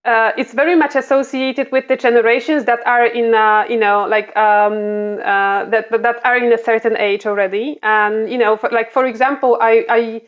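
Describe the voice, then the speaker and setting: A woman's voice: fairly high pitch, steady tone, moderate pace, hardly any pauses, clear, resonant tone, muffled recording. A woman diplomat with a Polish accent answering interview questions, with many fillers and restarts. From Poland. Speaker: diplomat guest.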